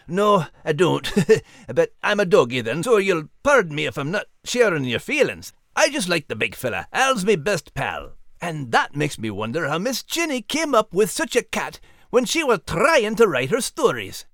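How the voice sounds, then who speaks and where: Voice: mid-range voice, sing-song, normal speed, few pauses, fairly bright tone, dry recording, studio quality. An actor playing a plucky, cheeky little male terrier with a Scottish accent, co-hosting a children's story podcast. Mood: chatty, cheeky. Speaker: co-host.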